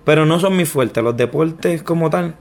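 A man's voice: a little high, normal speed. A young adult man with a Puerto Rican accent, telling his life story as an interview guest, with many restarts and a self-deprecating manner. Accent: Puerto Rican Spanish. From Puerto Rico. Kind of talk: personal testimony interview.

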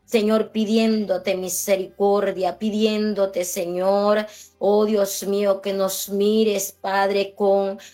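Fervent slow-praying woman. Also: mid-range voice, level tone, dark tone, soft background music. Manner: fervent, slow, repetitive invocations. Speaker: radio prayer leader.

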